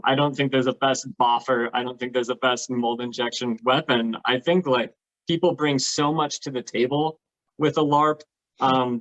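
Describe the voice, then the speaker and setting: A man's voice: mid-range voice, a bit bright, lo-fi sound. A man talking casually and enthusiastically, explaining things as a guest on a talk show. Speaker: guest, LARP participant.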